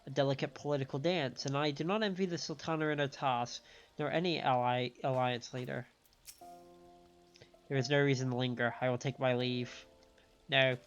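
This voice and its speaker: A man's voice: medium pitch, steady tone, moderate pace. Man streaming games, slightly dull audio. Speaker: game streamer. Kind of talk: casual live playthrough commentary, reading dialogue aloud. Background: game music.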